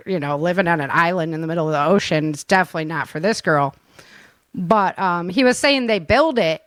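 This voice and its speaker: A woman hosting a casual chat show in an informal, animated, laughter-prone manner. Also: lowish voice, dry recording. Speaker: podcast host.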